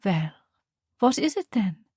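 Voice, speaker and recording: A woman reading the part of a calm, loving mother, speaking softly and tenderly. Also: on the high side, moderate pace, no echo, studio quality, voice pushed up. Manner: soft, tender, reassuring.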